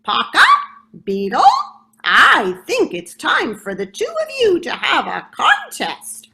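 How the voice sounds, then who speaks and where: A woman voicing a bossy parrot who squawks loudly and announces a race. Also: huge pitch swings, slow pace, vocal fry, heavily acted. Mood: commanding. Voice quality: shrill, squawking. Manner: loud, commanding announcements. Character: loud squawking bird, a bossy race judge.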